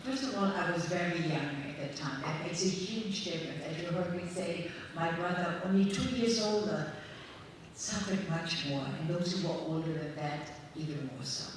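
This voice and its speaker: A woman in her eighties, a guest speaker answering questions from an audience, speaking in a measured, earnest way with pauses. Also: on the low side. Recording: echoey hall from a distance, faint crowd noise.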